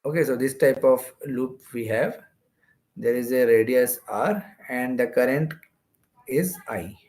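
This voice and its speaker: A man's voice: medium pitch, a little slow, darkish tone. A man with an Indian accent giving an explanatory exam-prep lecture as a physics teacher, going step by step and repeating phrases.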